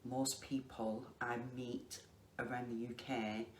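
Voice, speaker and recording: A middle-aged woman with a Lancashire accent, chatting casually to camera in a slow, deliberate way with many ums. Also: very deep voice, level tone. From England. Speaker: craft vlogger. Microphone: facing the camera. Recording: echoey room with faint noise.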